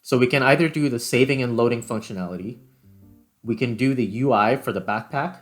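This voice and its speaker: A man's voice: mid-range voice, brisk, faint music behind. A man hosting a video, talking casually and thinking aloud as he explains game development. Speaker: video creator and host.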